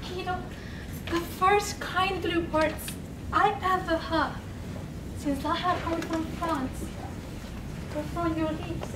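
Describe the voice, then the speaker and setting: A young woman performing a dramatic poetry reading, slow and pleading with sudden loud swells, in a large room. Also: extremely high pitch, steady tone, recorded from a distance. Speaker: contest reader. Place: large college event room. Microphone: far camera, standing away from mic. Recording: echoey room recorded from afar, noisy.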